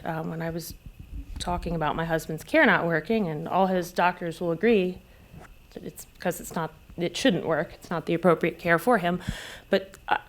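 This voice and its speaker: A woman giving candid, conversational personal testimony as a caregiver at a public discussion. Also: fairly low pitch. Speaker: military caregiver, panel speaker.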